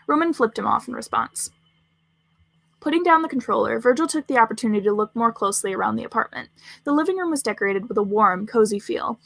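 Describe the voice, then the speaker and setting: A woman's voice: fairly high pitch, animated, quick pace, vocal fry, no room sound. A woman narrating scripted fan fiction as a storyteller.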